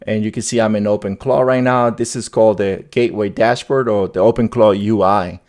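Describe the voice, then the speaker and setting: A man's voice: on the low side, steady tone, brisk, a little mellow. A man hosting a tech video, talking informally through a demo and thinking aloud as he goes, often repeating his phrases. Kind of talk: casual tutorial screen demo.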